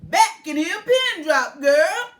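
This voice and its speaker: A woman voicing a calm man with a deep, rumbling, musical voice, speaking slowly and gently in a storybook reading. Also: exaggerated voice. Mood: teasing. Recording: distant mic.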